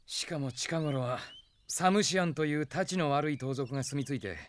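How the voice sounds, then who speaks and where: A man playing a brisk, cheeky young knight in a Japanese radio drama, with expressive soldierly speech. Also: a little high, close to an everyday voice. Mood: grave, reporting.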